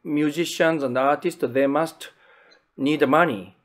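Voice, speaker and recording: A man's voice: on the high side, steady tone, a little slow. A man with a Japanese accent, a native Japanese speaker, interviewing casually in hesitant, non-native English.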